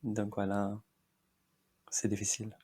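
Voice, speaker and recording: Soft-voiced Indian-born man, hesitant. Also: fairly low pitch, monotone, unhurried, husky. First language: Marathi. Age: 30s. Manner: hesitant, many fillers, frequent short laughs. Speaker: podcast guest. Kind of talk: casual interview chat.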